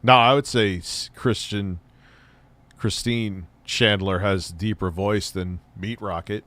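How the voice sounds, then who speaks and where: A man's voice: fairly low pitch, steady tone, takes it slowly, a bit bright. A man hosting a livestream, giving crude, mocking commentary over a video and laughing often. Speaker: livestream host reacting to videos.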